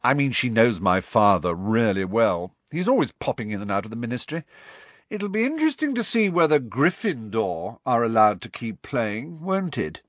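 A man voicing a smug, malicious teenage schoolboy bully in an audiobook, boasting loudly and sneering. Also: mid-range voice, sing-song, no echo. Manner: boastful, deliberately loud, sneering.

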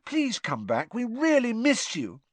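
A man doing a quiet, pleading teenage girl. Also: extremely high pitch, huge pitch swings, measured pace, dry recording, pitched above the performer's own voice, exaggerated voice. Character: teenage girl student, kind.

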